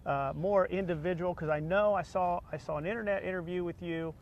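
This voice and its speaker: Higher-voiced male interviewer over background noise. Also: creaky, muffled recording. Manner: rambling, halting questions. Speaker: interviewer, faculty member and former football coach. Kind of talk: casual conversational interview.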